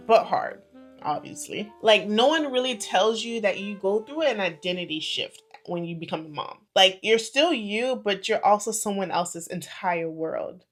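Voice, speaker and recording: A woman in her thirties chatting casually to camera as a vlogger, playful and confiding, her loudness and pace rising and falling a lot. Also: on the low side. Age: 30s.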